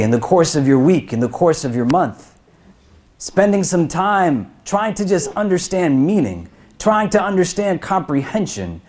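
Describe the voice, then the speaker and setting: A man who is an imam, giving a sermon to a crowded prayer hall. He builds through lists and questions, rising in pitch and loudness, then drops low to land each point. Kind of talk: Friday sermon.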